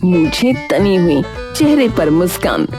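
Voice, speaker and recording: Woman narrator, animated intonation, scripted comic storytelling, music underneath. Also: fairly low pitch, unhurried.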